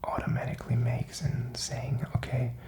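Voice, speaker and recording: A man's voice: medium pitch, completely flat delivery, moderate pace, gravelly, dark tone, echoey room. A man whispering in a rambling, reflective and informal ASMR style, feeling his way through his thoughts. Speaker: ASMR content creator.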